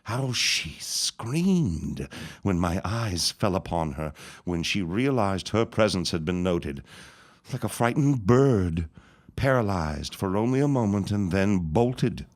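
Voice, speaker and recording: An actor playing a sinister, scheming small-town reverend in an audio drama, gloating and menacing. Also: male voice, animated. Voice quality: deep, slow, drawn-out menace. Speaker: Reverend Gaumont. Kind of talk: radio drama acting.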